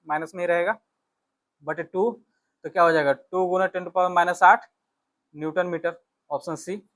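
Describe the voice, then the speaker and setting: High-pitched Indian man teaching. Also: fairly bright tone. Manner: instructional, repeats key phrases, checks in with short tags. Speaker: teacher, exam coach. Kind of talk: physics exam lesson, working through questions.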